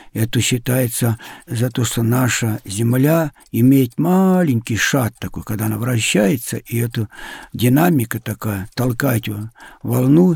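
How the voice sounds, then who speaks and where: A man, likely middle-aged, with a husky, gravelly voice tells stories conversationally to children in simple, loosely phrased explanations. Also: mid-range voice, mellow, dry recording, studio quality. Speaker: sailor and traveller guest.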